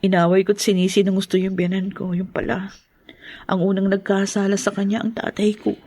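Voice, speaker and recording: An actress playing a worried, guilt-ridden young wife in a Tagalog radio drama, with a Filipino accent. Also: female voice, medium pitch, monotone, brisk, dark tone, no echo, lightly acted. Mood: remorseful.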